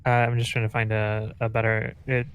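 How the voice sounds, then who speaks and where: A man helping assign groups in casual gaming voice chat, halting as he works through logistics aloud. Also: lowish voice, monotone, smooth. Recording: faint noise on gaming voice chat.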